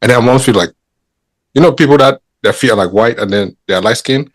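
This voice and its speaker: A man from Cameroon hosting a podcast, chatting and telling stories in a teasing, animated way. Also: mid-range voice, fairly quick, no echo. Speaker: podcast host. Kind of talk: casual chat.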